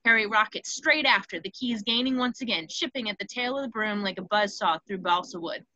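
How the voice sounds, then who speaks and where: A woman's voice: medium pitch, brisk, pushed and bright, dry recording, muffled recording. A woman reading stage directions as the narrator of a scripted screenplay table read.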